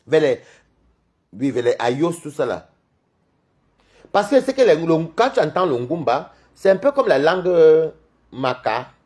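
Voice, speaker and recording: A man's voice: on the high side, a little slow, pauses often. A man from Cameroon with a Cameroonian French accent, chatting on a live stream in a rambling, gossipy way. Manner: rambling, animated, gossipy, laughs often. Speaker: livestream host. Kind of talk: live-stream chat with viewers.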